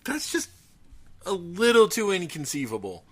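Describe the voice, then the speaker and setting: A man hosting a podcast, chatting casually and comically, incredulous and often restarting his sentences. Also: high voice. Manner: animated, incredulous, halting restarts. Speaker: podcast host. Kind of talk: casual comedic chat about a bizarre news story.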